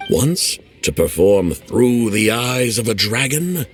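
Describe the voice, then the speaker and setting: A hammy, boastful stage actor. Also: male voice, medium pitch, big pitch swings, takes it slowly, breathy edge, soft background music, exaggerated voice. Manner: grandiose, hammy, laughs at himself. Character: theatrical male stage actor, boastful and playful. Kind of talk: video game voice acting.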